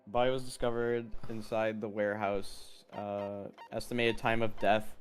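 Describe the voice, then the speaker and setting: Low-voiced man hesitantly thinking aloud. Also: little pitch movement, normal speed, soft background music. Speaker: gaming stream player. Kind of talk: casual commentary while playing a game.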